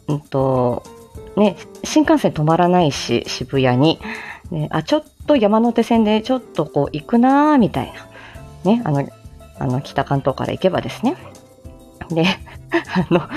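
A woman's voice: medium pitch, a little mellow. A woman from Japan chatting casually as a livestream host, in a giggly, self-deprecating manner. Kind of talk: casual morning livestream chat.

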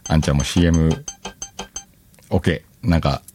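A man's voice: extremely low pitch, steady tone, moderate pace, music in the background. A man hosting a casual solo audio show, reading out listener letters and chatting to himself with self-deprecating banter and frequent laughter.

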